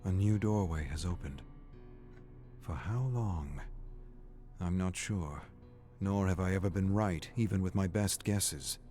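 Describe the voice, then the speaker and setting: An actor playing an erudite, morbid prisoner narrating his journal in a video game, formal and measured. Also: low-pitched, warm tone, soft background music. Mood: pensive. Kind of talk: scripted dramatic game narration.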